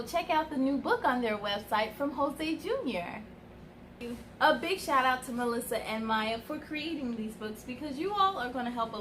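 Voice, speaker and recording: A woman's voice: high-pitched, sharp tone, a little hiss or hum. A woman hosting a children's story time, reading aloud expressively and speaking to young listeners in an encouraging way.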